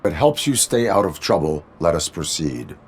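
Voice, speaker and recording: Super low robot cop from a video game, deadpan, formal, clipped, totally dutiful. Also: male voice, moderate pace. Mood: flat, businesslike. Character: stoic male cyborg police officer, dutiful and literal. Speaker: police officer. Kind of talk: video game dialogue acting.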